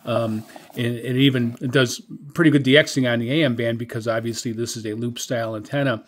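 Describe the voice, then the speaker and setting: A man's voice: medium pitch, fairly even intonation, a little fast. A middle-aged or older man giving a casual product review as a hobbyist, in a hesitant, rambling, conversational way. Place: indoor room with radio equipment.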